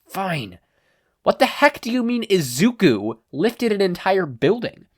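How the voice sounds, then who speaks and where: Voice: medium pitch, sing-song, unhurried, breathy edge, voice pushed up. A podfic reader voicing a brash, hot-tempered teenage boy hero student who grumbles, snaps and boasts. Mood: irritated.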